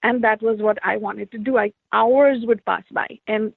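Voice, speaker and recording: A woman's voice: mid-range voice, steady tone, normal speed, creaky, no echo. A woman from India, an artist and teacher, telling stories from her life as an interview guest, with many "like" fillers. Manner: reflective, storytelling, frequent "like" fillers. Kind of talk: conversational interview answers. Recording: tinny phone-like line, dry.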